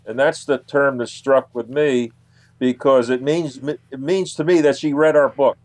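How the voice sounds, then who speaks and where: Halting man, dry close sound. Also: mid-range voice, fairly even intonation, a little mellow, no echo. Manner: halting, often repeats words. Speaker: guest, UFO researcher and author. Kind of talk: radio interview, explaining.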